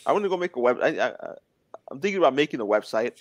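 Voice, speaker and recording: A man's voice: medium pitch, very animated, rapid-fire, no echo, studio quality. A man in his twenties, a guest in a casual interview, talking in an earnest, plainspoken way. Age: 20s.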